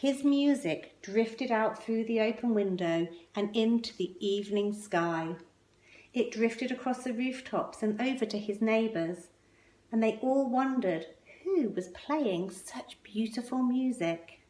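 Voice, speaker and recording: A woman reading a children's picture book aloud expressively, with measured, emphatic phrasing and pointed pauses. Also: a little high, expressive, warm tone. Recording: roomy, echoing indoor sound.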